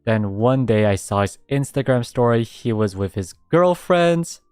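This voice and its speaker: A young man streaming a casual radio-style chat, reading out listener submissions, laughing often and reacting in an animated way. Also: unhurried, clear, resonant tone, no room sound, studio quality, soft background music. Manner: animated, laughs often, jumps high when excited.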